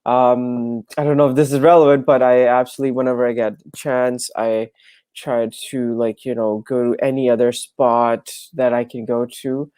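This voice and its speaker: Hesitant young man, mellow dry voice. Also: mid-range voice, flat delivery, normal speed, clean tone, no echo. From Dubai and Pakistan. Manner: hesitant, many fillers and restarts. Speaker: startup founder, guest. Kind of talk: casual video interview. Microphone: wired earphones on video call.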